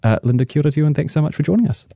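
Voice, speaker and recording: A man's voice: fairly high pitch, rapid-fire, vocal fry, dark tone, no room sound. A man in his thirties with a New Zealand accent, hosting a radio interview in a wry, conversational way with rambling questions. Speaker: radio host.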